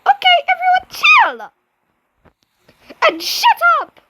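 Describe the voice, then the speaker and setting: A girl voicing a bossy young man who fixes a plane engine, in a high squeaky put-on voice. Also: wildly swooping pitch, slow, very noisy, full character voice.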